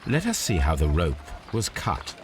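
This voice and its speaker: A methodical gentleman detective in a video game, calm and precise. His voice is very deep but moves a lot in pitch, and clear ambient game noise sits behind it. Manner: measured and deliberate.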